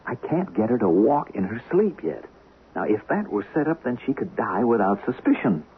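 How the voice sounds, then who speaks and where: An actor playing a two-faced, smooth-talking scheming husband in a 1970s radio drama, persuasive and theatrical, on a muffled old recording. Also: male voice, mid-range voice, very animated, moderate pace.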